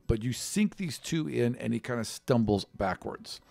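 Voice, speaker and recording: A man's voice: medium pitch, animated, moderate pace, vocal fry, darkish tone, no room sound. A man working as game master, improvising tabletop game narration in a conversational way and describing scenes directly to the player.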